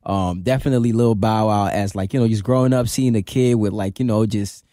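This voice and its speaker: Brief: young male rapper guest, relaxed and rambling, dry close studio sound. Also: lowish voice, brisk, no room sound. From Ghana, raised in Amsterdam. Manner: laid-back, many fillers, laughs easily. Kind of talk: casual radio interview. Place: radio studio. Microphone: studio mic on boom arm.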